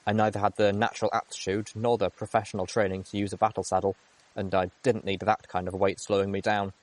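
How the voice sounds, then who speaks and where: A man's voice: fairly low pitch, flat delivery, fast, no room sound. A man reading a first-person adventure story aloud as dramatic narration. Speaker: audiobook reader.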